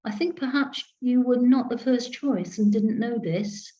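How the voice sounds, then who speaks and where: A woman's voice: on the high side, measured pace, warm tone, voice pushed up, close to an everyday voice. A woman storyteller voicing a confident, teasing woman psychic with dry wit, heard over a video call. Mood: teasing, knowing. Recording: dull lo-fi video call audio.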